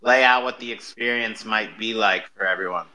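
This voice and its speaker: Man, American accent, very bright forceful tone, casual interview host. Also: lowish voice, moderate pace, poor audio. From United States.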